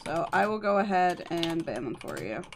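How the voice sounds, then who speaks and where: A woman's voice: on the low side, very animated, moderate pace, slight background noise. A woman livestreamer chatting casually with her co-host and viewers, explaining things politely. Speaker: game livestreamer. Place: indoor streaming setup at a computer.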